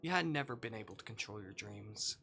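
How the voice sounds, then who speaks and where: A streamer with an androgynous, middle-pitched voice narrates a story game aloud. The delivery is dramatic, with the odd stumble and repeat. Soft guitar music plays behind the voice. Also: animated, fairly quick, vocal fry. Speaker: streamer reading a visual novel aloud.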